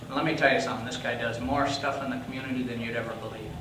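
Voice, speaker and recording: A man's voice: mid-range voice, fairly even intonation, rapid-fire. Man, presenter, folksy, emphatic, far from the mic, echoey room. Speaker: award presenter. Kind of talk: enthusiastic introduction speech. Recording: roomy hall, far from mic, noisy.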